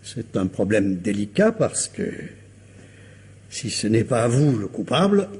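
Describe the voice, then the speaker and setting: An actor playing a shrewd, insistent police commissioner who questions a suspect with courteous, insinuating pressure, in a French radio crime drama. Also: male voice, on the low side, very animated, slow, darkish tone, breathy edge, exaggerated voice. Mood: grave, accusing.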